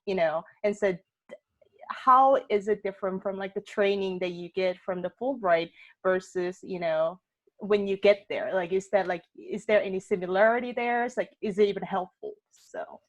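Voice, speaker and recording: A woman's voice: mid-range voice, moderate pace. Woman, moderator, casual, filler-heavy, video call. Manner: chatty, many fillers, laughs often. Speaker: workshop moderator. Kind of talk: casual discussion hosting.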